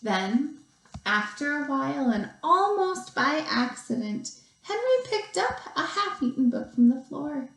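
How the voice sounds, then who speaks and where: A woman teacher reading a picture book aloud to young children, expressively, with deliberate pauses and stretched emphasis. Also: high-pitched, sing-song, gravelly, a bit bright. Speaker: second grade teacher. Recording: roomy, echoey sound.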